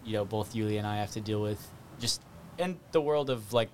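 Chatty man in quick bursts. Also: lowish voice, a little mellow, slight background noise. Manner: chatty, talks in quick bursts, laughs easily. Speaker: marketing and content worker. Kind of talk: casual podcast conversation.

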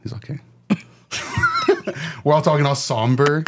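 Man, mid pitch, slightly raspy, easygoing podcast host. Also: unhurried, noisy background. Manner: easygoing, laughs often, hesitant restarts. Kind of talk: casual chat.

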